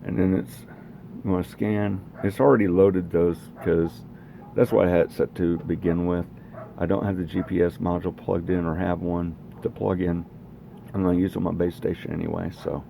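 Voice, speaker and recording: A man's voice: deep voice, gravelly, mellow, a little hiss or hum. A man casually demonstrating radio equipment in a rambling, plainspoken way, recorded in a home garage. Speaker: radio hobbyist presenting own equipment.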